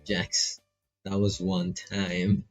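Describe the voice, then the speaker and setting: A man's voice: sits low, completely flat delivery, drawn-out words, lo-fi sound, faint music behind. A man voicing an easygoing, friendly young male student in a visual novel. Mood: defensive.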